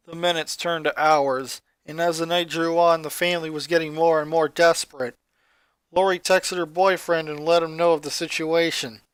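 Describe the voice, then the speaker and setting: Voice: mid-range voice, a bit bright, clean tone, no echo. Story narrator, mid-range voice, dry close sound, scripted fan fiction reading.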